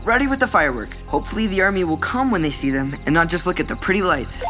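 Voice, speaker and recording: A man's voice: sits high, lively intonation, fast talker, bright, forceful tone, faint music behind, low-quality recording. An actor playing an earnest, nervous teenage boy adventurer in an audio drama. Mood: anxious, earnest.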